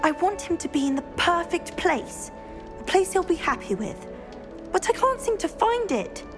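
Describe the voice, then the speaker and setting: A woman's voice: very high voice, sing-song, normal speed, music in the background. A woman with a British accent playing an earnest, chatty teenage schoolgirl in a video game. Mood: fretful. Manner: eager, chatty, friendly. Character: earnest, plant-loving teenage schoolgirl named Samantha. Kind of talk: video game dialogue acting.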